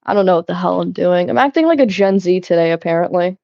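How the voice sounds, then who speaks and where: A woman's voice: fairly low pitch, animated, fast, gravelly, no room sound. A young adult woman streaming while she cleans, chatting loosely with her viewers, giggling and swearing often. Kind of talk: casual live-stream chat while cleaning. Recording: slightly muffled, dry sound.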